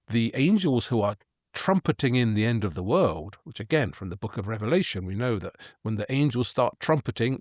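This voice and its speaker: A man chatting about art with playful, eager storytelling at a middling pitch. The recording is dry and close. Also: dry recording. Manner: enthusiastic, playful storyteller asking questions. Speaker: podcast co-host. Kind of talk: casual art history chat.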